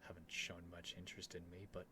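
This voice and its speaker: A man playing an earnest, nervous young prince devoted to a dragon, in a halting, emotional ASMR roleplay addressed to the listener. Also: low voice, monotone, noisy background, close to an everyday voice. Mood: pleading, resigned. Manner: halting, stammering, breathy sighs and whispers, emotional.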